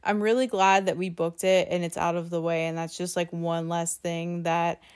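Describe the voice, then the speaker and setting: Young woman podcasting solo, kinda low voice, says like a lot, casual and chatty. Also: level tone, moderate pace, smooth. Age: 20s. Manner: chatty, uses "like" often, self-deprecating. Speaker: podcast host.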